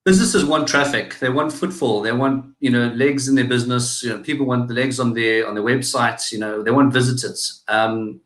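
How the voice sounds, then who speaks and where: Brief: South African male guest, analytical, halting delivery, roomy echo. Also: mid-range voice, fairly bright tone. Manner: analytical, halting with many restarts and fillers. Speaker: guest, digital marketing business owner. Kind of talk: casual interview talk.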